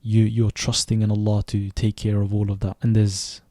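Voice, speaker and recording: A young man in his twenties who grew up in Sweden, hosting a reflective solo podcast and speaking thoughtfully, with pauses and self-corrections. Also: lowish voice, completely flat delivery, mellow, no room sound, clean studio sound. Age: 20s. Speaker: podcast host.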